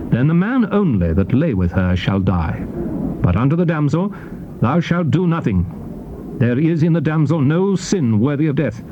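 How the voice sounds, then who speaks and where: A man with a British accent reads scripture as a narrator, in a solemn, declamatory manner with measured phrasing. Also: mid-range voice. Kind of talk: dramatized King James Bible reading. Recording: dull noisy old cassette tape.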